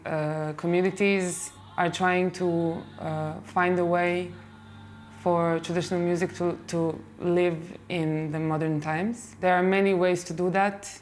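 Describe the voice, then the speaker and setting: Slow, measured woman. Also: on the low side, a little hiss or hum. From Bosnia. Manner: slow, measured and thoughtful, with fillers. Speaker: singer in a band. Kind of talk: documentary interview.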